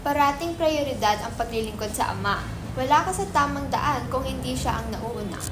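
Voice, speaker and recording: An imperious church minister, played by a woman in a dramatic reading, with a fairly high voice and formal, preachy delivery. The pompous, demanding speech is captured in an echoey room with clear background noise. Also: expressive, moderate pace.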